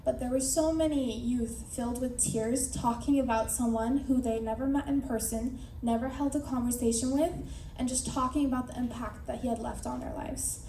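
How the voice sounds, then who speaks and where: A woman's voice: a little high, fairly even intonation, moderate pace, few pauses, warm tone, a little hiss or hum. A young woman giving an earnest, reflective tribute speech at a memorial gathering. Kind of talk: prepared personal tribute speech. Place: large hall at an evening gathering. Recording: echoey hall from far off.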